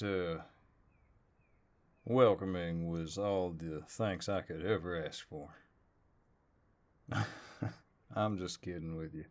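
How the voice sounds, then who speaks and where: A man acts out a handsome cowboy neighbor with a low Southern drawl. He speaks very slowly and hesitantly, polite but gently teasing, as in an intimate audio roleplay. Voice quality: deep voice. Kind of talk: audio roleplay, intimate one-sided dialogue.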